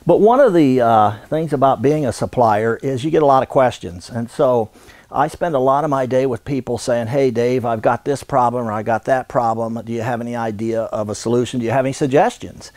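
An American man in his sixties giving an unscripted instructional demonstration in a halting, folksy way. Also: mid-range voice, darkish tone, no echo. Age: 60s. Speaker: radon supply business owner and instructor. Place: indoor warehouse.

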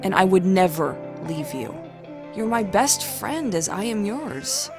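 A woman acting a loyal, weary young woman in an audio drama, with a husky voice and music underneath. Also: medium pitch, expressive, a little slow. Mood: affectionate, earnest.

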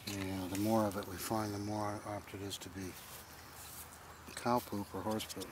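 A man's voice: lowish voice, little pitch movement, normal speed, noisy background. A man chatting casually on a hike, explaining things in a matter-of-fact way, picked up by a camera a few steps away in an open field. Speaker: hiking companion. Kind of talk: casual conversation. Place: open grassy field outdoors.

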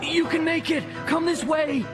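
A man voicing an excitable boy adventurer in a story, exclaiming urgently to his friend. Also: very high voice, unhurried, over music, pitched above the performer's own voice. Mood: desperate shouting.

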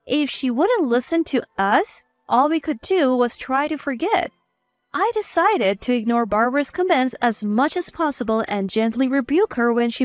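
A woman's voice: sits high, no room sound. A woman reading a scripted first-person story as its narrator.

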